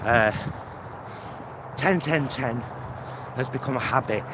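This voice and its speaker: A man's voice: mid-range voice, slow pace. A British man talking casually to a vlog audience while out walking, in a reflective, hesitant, self-deprecating way. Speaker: vlogger. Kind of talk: casual walk-and-talk vlog. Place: outdoors, walking along streets. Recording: windy outdoor recording, loud traffic noise. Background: wind on mic, vehicles, urban ambience.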